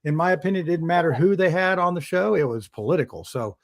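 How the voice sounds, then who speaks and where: A man's voice: fairly high pitch, quick pace. A man hosting a casual panel talk show, rambling with many restarts and frequent chuckles. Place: outdoors in the sun.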